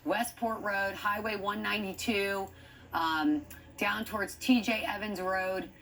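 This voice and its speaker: A woman's voice: medium pitch, fairly even intonation, measured pace, a bit bright, slight background noise. A woman with an American accent giving live severe weather commentary, urgent and thinking aloud as she reads the radar. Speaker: TV weather forecaster.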